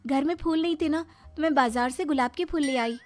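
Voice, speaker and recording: Woman playing a very high-voiced, caring young daughter-in-law. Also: fairly quick, lightly acted. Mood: apologetic. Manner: polite, concerned, explanatory. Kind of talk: Hindi cartoon moral story acting. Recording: music behind the voice.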